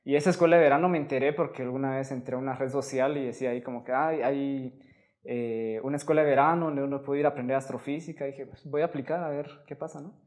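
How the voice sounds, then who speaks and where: A man from Guatemala, a guest astrophysicist, explaining research in a relaxed way, often ending with tag questions and correcting himself. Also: mid-range voice, fast, roomy sound. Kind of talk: conversational explanation.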